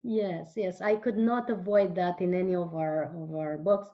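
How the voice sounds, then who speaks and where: A woman whose first language is Romanian, answering interview questions as a guest author in a slow, searching way with frequent restarts. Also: on the low side, steady tone, dull audio.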